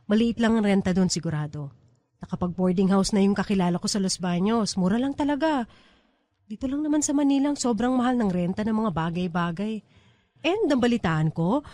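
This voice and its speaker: Woman playing clingy teacher in love, radio drama, highish voice, chatty n insistent, very dry sound. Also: darkish tone, no echo, natural voice. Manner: chatty, insistent, planning aloud.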